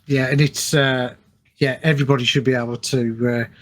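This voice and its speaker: A man's voice: medium pitch, flat delivery, normal speed, a bit bright. A man hosting a podcast, chatting casually and encouraging his guest with agreeable, supportive replies. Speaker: podcast host. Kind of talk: casual chat, interviewing.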